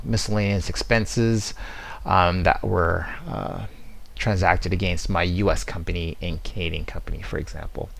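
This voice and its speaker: A man giving an explanatory software demo walkthrough, stressing his key words as he presents. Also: low-pitched, gravelly.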